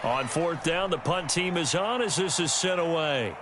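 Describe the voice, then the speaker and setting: A man voicing an adult football play-by-play announcer in a video game, calling each play in a brisk, measured way. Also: fairly high pitch, very noisy, natural voice. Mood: steady calling. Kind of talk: video game sports commentary.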